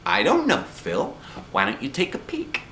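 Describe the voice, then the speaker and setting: A man voicing an excitable, bossy first-grade girl from a storybook in a dramatic, childish manner. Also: a little high, moderate pace, gravelly, slight background noise, voice pushed up, full character voice. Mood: chatty, confident.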